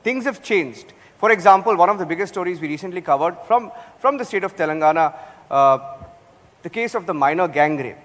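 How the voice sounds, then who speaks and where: A man's voice: high-pitched, animated, a little fast, fairly bright tone. A man from Karnataka, a Telugu speaker, arguing as a news anchor on a live panel, defensive with stammering restarts. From Karnataka, India. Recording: long hall reverb.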